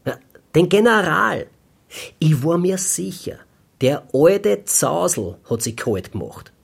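An actor playing a shifty, husky-voiced petty thief who rambles and pleads his innocence in a radio drama. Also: a little high, very animated, slow pace, exaggerated voice. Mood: nervous, insistent. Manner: evasive, pleading, rambling confession.

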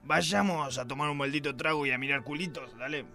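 Guy doing a foul-mouthed corrupt cop, gravelly and bright, kinda high, faint music under it. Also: fairly quick, lightly acted. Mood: gruff rant. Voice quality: gravelly, shouting insults. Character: crude corrupt bigoted male police commissioner. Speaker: radio drama actor. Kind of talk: amateur radio play acting.

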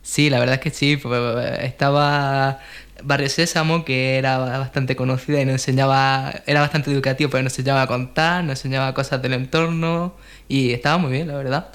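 A man's voice: medium pitch, steady tone, a little fast, fairly bright tone. A man with an accent from Spain co-hosting a radio show in a casual, conversational style. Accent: Spanish from Spain. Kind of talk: casual radio chat, some read-out information.

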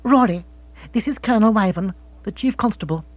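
A man reading an audiobook, voicing a weary, apologetic upper-class wife in a soft, resigned tone. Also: high-pitched, fairly quick, dry recording, muffled recording, pitched above the performer's own voice.

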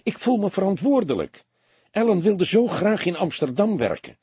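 A man voicing a wealthy, smooth businessman in an audiobook, formal and exclamatory in manner. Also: high-pitched, sing-song, moderate pace, mellow, dry recording, voice pushed up, full character voice. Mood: shocked, distraught. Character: wealthy smooth businessman, theatrically distraught, then affably condescending. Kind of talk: audiobook character voice.

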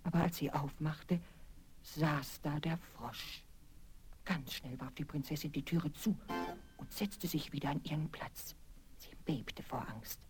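A woman narrating a scripted fairy tale for children in an expressive, deliberate storytelling manner. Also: low voice, in a whisper. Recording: vinyl record transfer with music.

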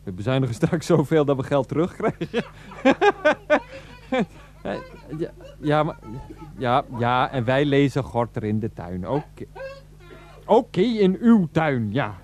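A man acting an exasperated, defensive grown son on the phone to his mother in a naturalistic radio comedy drama, halting and repeating himself to placate her. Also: mid-range voice, big pitch swings, darkish tone, natural voice. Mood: wearily agreeing.

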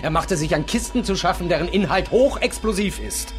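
A highish, animated voice over music, a scornful adventurer in a radio play. He is theatrical and mocking, swaggering through his lines with wide melodic movement. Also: brisk. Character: scornful, swaggering male adventurer out to expose rivals. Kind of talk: radio drama acting.

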